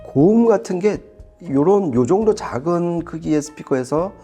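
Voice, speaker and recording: A man's voice: a little high, animated, moderate pace, dark tone, slightly rough, faint music behind. A man reviewing audio products in conversational commentary, explaining things with casual, emphatic phrasing.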